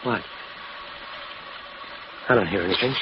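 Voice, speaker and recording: A man's voice: on the low side, unhurried, natural voice. An actor playing an anxious but resolute husband protecting his wife in a 1950s-style radio drama. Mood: uneasy reassurance. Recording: old muffled radio recording, heavy noise.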